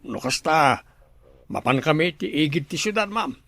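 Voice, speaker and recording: Obedient male subordinate, gravelly creak, deferential, radio drama, very dry sound. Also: a little high, lively intonation, normal speed, bright, forceful tone, no room sound, heavily acted. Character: dutiful male subordinate, deferential to his lady boss. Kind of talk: Filipino radio drama acting.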